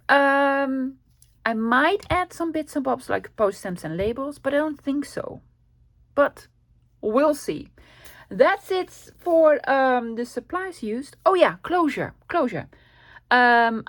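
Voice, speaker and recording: A woman from the Netherlands with a Dutch accent, chatting casually at her craft desk as host of her channel, rambling with many ums and asides. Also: mid-range voice. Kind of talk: casual craft tutorial chat.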